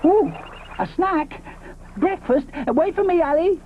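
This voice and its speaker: A man voicing a dreamy, slow-witted male moschops with a high, dreamy voice in a storybook. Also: big pitch swings. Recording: tinny lo-fi with faint hiss.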